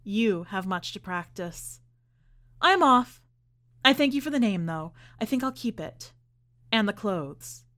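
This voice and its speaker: A woman's voice: medium pitch, lively intonation, a little slow, fairly bright tone. A woman reader voicing a defiant, sardonic female clown with a cool, mocking manner in a story reading.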